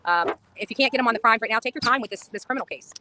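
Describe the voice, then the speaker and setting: A woman's voice: fairly high pitch, rapid-fire, crowd noise. A woman livestreaming an impassioned, insistent monologue outdoors on a street, repeating phrases for emphasis.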